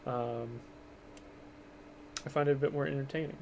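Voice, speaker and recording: A man streaming a racing game from home, talking in a low-key, monotone, rambling way and keeping his voice down. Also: moderate pace. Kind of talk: casual gameplay commentary. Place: home, kept quiet for others in the house. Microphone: cheap microphone. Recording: noisy cheap mic with game sound.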